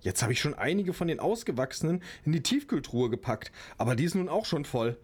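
A man's voice: a little high, sing-song, fairly quick, husky. A man playing a chatty, practical rabbit breeder in an amateur radio play. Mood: flustered.

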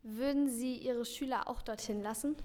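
A young female student speaks in a fairly high voice, recorded in an echoey hall. She reads out scripted lines as a school radio interviewer. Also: steady tone, moderate pace, darkish tone. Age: child or teenager.

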